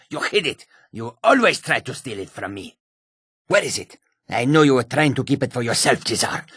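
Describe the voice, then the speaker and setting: Raspy, wildly swooping, paranoid catfolk addict. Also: male voice, mid-range voice, fairly bright tone, no echo, studio quality, exaggerated voice. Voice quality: gravelly, raspy. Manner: erratic, accusing. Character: paranoid, agitated male catfolk drug addict. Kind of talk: video game character dialogue.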